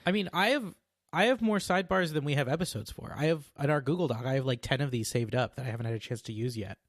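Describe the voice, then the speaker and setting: A man's voice: medium pitch, fast talker, no room sound. A man co-hosting a podcast in casual chat, teasing the others with dry humor. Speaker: podcast co-host.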